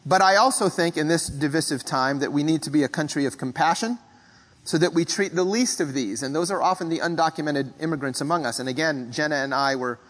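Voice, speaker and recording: A male pastor preaches a thoughtful sermon, his voice sitting a little high for a man. He speaks measured and reflective, pausing to clear his throat now and then. A long hall reverb trails each phrase. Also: fairly bright tone. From Philippines.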